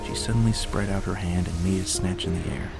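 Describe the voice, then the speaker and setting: A man's voice: sits low, fairly even intonation, a little fast, vocal fry. A man narrating a children's bedtime story as a storyteller. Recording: loud music under the voice.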